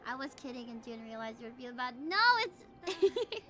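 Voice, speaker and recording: A woman live streamer chatting casually and politely with her viewers in a soft-hearted way. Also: a little high, expressive, moderate pace. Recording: clearly noisy stream audio.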